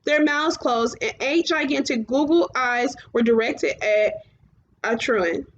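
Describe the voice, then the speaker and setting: A woman with a high voice reads a fantasy storybook aloud. She is a little halting and repeats phrases to correct herself. The recording is slightly muffled and lo-fi. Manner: halting, often stumbles and rereads phrases. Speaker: storybook reader. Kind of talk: read-aloud children's fantasy narration.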